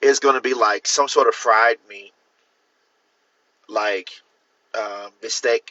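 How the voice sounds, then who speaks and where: Bright-voiced man chatting on a livestream. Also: gravelly, dry recording, dull audio. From Miami. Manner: rambling, restarts, swings up in pitch for emphasis. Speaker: author hosting a livestream. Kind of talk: casual livestream chat. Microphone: phone or computer mic.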